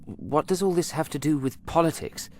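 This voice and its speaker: An actor playing a hesitant junior demon, a deferential pupil full of questions, in an audio drama. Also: male voice, a little high, animated, normal speed, dark tone, slight background noise, lightly acted. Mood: doubtful, puzzled. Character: junior male demon nephew, hesitant, dim, eager pupil.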